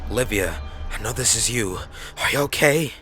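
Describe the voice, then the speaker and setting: A man's voice: medium pitch, animated, moderate pace, music in the background, pitched above the performer's own voice, close to an everyday voice. A man voicing an anxious but stern female emergency dispatcher, urgent and reassuring, in a horror story. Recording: faint background noise.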